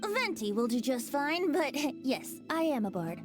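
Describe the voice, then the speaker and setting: A woman's voice: sits high, very animated, unhurried, over music. A woman voicing a playful young male bard in a video game, with a teasing, theatrical manner. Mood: amused. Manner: teasing, florid, amused.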